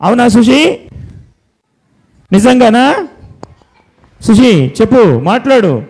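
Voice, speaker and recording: Mellow man leading children's Bible school. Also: very high voice, sing-song, slow pace, husky. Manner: instructing, repeats simple commands and questions. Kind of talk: preaching to children and leading prayer.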